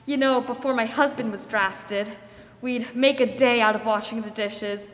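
A woman's voice: on the high side, normal speed, lightly acted. A young woman acting a perky, sentimental wartime hostess in 1940s radio style. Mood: wistful, fond. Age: young adult. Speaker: radio show host. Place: theater stage, standing mic. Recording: echoey theater hall.

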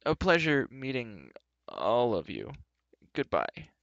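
Casting: a man as a nervous, evasive fairy-tale creature, moaning and self-pitying, bright tense voice, lo-fi sound. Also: medium pitch, wildly swooping pitch, slow, creaky, no room sound, exaggerated voice. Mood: falsely obliging.

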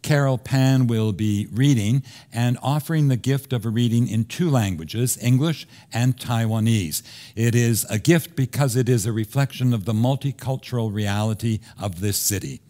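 An older man introducing the scripture reading in a worship service, calm and formal. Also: mid-range voice, moderate pace. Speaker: minister. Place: large church.

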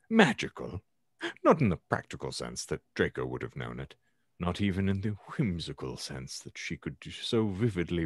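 Very deep, husky man narrating theatrically. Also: dry recording, studio quality. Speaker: podcast host. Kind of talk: dramatic reading of fan fiction. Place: home room, on a video call. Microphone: close podcast microphone.

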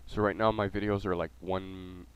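A man's voice: sits low, fairly even intonation, normal speed, clean tone. A man with a cold and a nasal voice, hosting a gameplay video and chatting casually with many ums and uhs.

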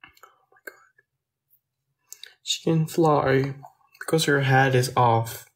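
Teen boy, slow and easygoing, rambling livestream chat from a small room at night. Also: mid-range voice, steady tone. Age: teens. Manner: chatty, answers viewer questions, drifts between topics. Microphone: phone at arm's length.

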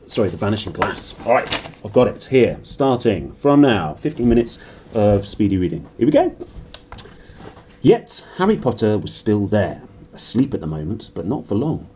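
Animated, mellow-voiced British man reading aloud. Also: on the low side, slight background noise. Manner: expressive. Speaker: reader. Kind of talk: brisk storybook reading to camera. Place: small decorated room indoors.

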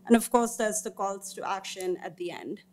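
A woman in her thirties giving a formal panel presentation as a researcher, with informal asides and hesitant pauses mid-sentence. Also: mid-range voice, darkish tone. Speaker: researcher presenting report findings. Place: indoor meeting room or auditorium, seated at a panel table. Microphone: gooseneck table microphone.

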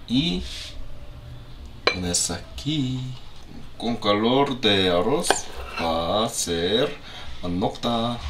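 A man's voice: medium pitch, roomy sound, background noise. A young man whose first language is Korean, speaking simple learner's Spanish while cooking and chatting in a vlog, with a lingering cough. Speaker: vlogger. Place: small apartment room.

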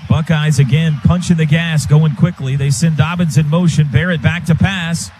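A man with an American accent and a fairly high voice works as a football radio announcer. He describes the action in short clipped phrases, live from the booth, with faint background noise. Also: steady tone, moderate pace, darkish tone. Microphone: broadcast booth microphone. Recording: live stadium broadcast, faint ambient noise.

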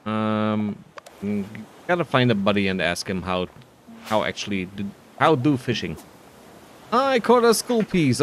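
A man giving casual, playful playthrough commentary on a video game, often musing to himself. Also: medium pitch. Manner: playful, self-talking, drawn-out musing. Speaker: video game player and narrator.

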